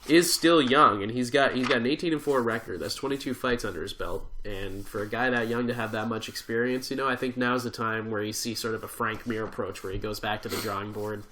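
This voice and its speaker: A man hosting a casual sports podcast, hoarse and nasal from a cold, chatty and quick to laugh. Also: medium pitch, a bit bright, slight background noise. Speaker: podcast host. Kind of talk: casual chat.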